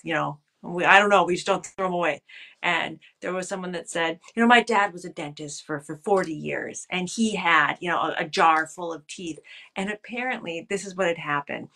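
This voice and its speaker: A woman in her fifties, a political scientist, talking thoughtfully over a video call from a room at home, often pausing to restart her phrases. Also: on the low side, fairly bright tone. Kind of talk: casual video call interview. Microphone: video call webcam.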